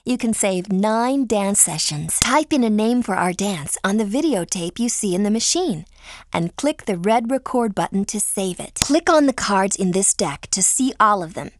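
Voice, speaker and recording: A woman voicing a friendly teenage high school girl who gives clear, upbeat instructions in a dance video game. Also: lively intonation, normal speed, no echo, close to an everyday voice. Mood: upbeat, helpful.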